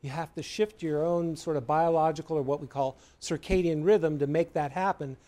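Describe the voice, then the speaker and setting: Higher-pitched American man, mellow tone. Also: fairly even intonation, normal speed. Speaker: sleep medicine expert being interviewed. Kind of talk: explanatory expert interview.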